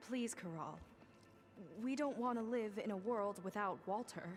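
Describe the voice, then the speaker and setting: A guarded young female marine from a video game, acted by a woman. She talks in a wry, clipped way at a medium pitch, over clearly heard music. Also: normal speed, natural voice. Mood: urgent. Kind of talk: video game cutscene acting.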